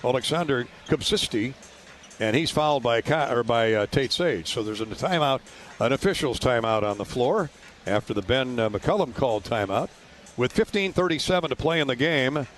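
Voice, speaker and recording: A man's voice: medium pitch, expressive, normal speed, faint music behind. American man calling live radio basketball. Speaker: radio play-by-play announcer. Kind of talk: live basketball play-by-play commentary. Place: basketball arena.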